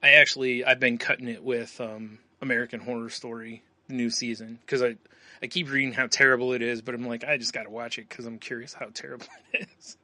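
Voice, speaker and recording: A man's voice: mid-range voice, flat delivery, fairly quick, pushed and bright, no room sound. A man co-hosting a podcast in casual chat, rambling and laughing often as he talks. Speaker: podcast co-host.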